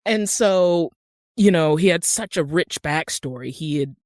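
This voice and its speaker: A woman's voice: sits low, expressive, a little slow, no room sound, clean studio sound. A middle-aged American woman telling stories conversationally and emphatically as an interview guest. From Utah, United States. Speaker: podcast guest, screenwriter and actor.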